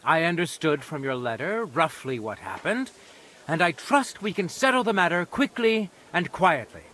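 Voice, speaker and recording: An actor playing a stern, shrewd medieval nobleman in judgment, speaking in a measured, imperious and dryly sardonic way for a video game. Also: on the high side, expressive, sharp tone, a little hiss or hum, low-quality recording. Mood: dry, reproachful. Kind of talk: dramatic video game acting.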